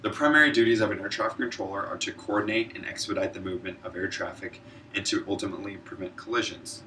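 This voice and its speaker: A man with a low-pitched voice gives a prepared informative talk. He sounds earnest, with the odd stumble. The mic is some way off, and faint noise sits around his words. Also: fairly even intonation, fast, pushed and bright. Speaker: student public speaker, military air traffic controller. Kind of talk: informative speech, prepared presentation. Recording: distant mic, noisy room ambience.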